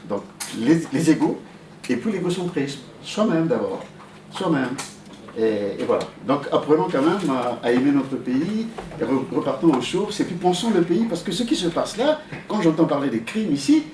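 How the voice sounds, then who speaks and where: A Congolese man, whose first language is Okutuba, addresses a meeting in a raised, slightly high voice. He halts on fillers, then surges upward when he makes a point. He is picked up from across a reverberant room over a faint hum. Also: a little mellow, recorded from a distance. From Republic of the Congo. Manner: hesitant fillers, then rises sharply when emphatic. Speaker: community member addressing a meeting. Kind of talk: impassioned public remarks. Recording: roomy sound from off-mic, faint hum.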